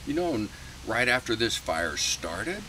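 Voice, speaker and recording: Low-voiced man telling a folksy tale, distant mic. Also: sing-song, bright, forceful tone. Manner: halting, folksy, conversational. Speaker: storyteller. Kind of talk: informal improvised storytelling, satirical fairy tale. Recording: noisy, distant mic with background rumble.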